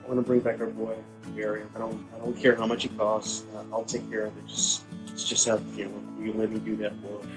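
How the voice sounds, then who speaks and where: Bereaved father, played by a man, weary and subdued, lowish pitch, hesitant pleading, tabletop roleplay over faint music. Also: completely flat delivery, quick pace, natural voice, poor audio. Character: weary, grieving father burdened by guilt.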